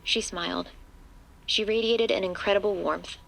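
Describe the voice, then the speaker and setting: A woman's voice: medium pitch, fairly even intonation, normal speed, vocal fry, fairly bright tone, rough recording. A woman playing the composed narrator of a horror audio drama, reading scripted prose as a storyteller. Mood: composed, ominous.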